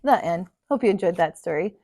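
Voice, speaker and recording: A woman reading a children's picture book aloud in an expressive kindergarten teacher's style. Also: on the low side, fairly quick, dry recording, studio quality. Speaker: kindergarten teacher, storybook reader.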